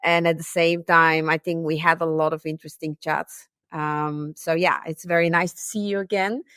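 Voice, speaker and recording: Woman, 30s, lowish voice, dry close sound, friendly, thoughtful, ums and uhs. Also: little pitch movement, normal speed, a bit bright, clean tone, dry recording. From Bulgaria. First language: Bulgarian. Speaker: podcast guest, tech recruiter. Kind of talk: conversational interview.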